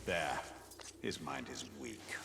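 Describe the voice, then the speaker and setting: Proud elf wraith, deep voice, swooping delivery, grave, bitter, commanding, fantasy game cutscene. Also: male voice, animated, slow pace, gravelly, heavy background noise, full character voice. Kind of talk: dramatic video game cutscene acting.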